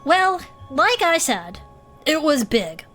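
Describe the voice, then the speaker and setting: An excitable female adventurer in an audio drama. Her voice sits high, and she speaks with earnest, dramatic emphasis as a monster-show co-host. Light music plays underneath. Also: slow pace, heavily acted. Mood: spooked, emphatic. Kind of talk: audio drama acting as a chatty fantasy podcast co-host.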